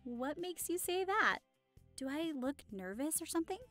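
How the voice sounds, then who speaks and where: Friendly party hostess in an audio drama, played by a woman, high voice with animated swings. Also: moderate pace, soft background music. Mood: nervous, affectionate. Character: warm young woman hosting a Halloween costume party. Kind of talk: naturalistic audio drama acting.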